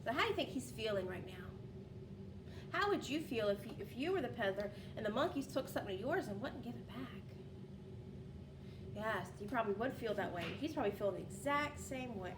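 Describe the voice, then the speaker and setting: A woman teacher reading a story aloud to young pupils, expressive and often addressing listeners with questions. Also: fairly high pitch, a little fast. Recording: roomy, distant home recording with noise.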